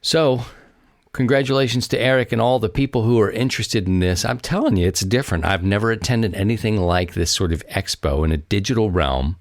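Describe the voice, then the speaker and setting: A man's voice: fairly low pitch, brisk, dry recording, clean studio sound. A man hosting a podcast solo, talking in a casual, rambling, conversational way and addressing his listeners directly. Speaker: podcast host.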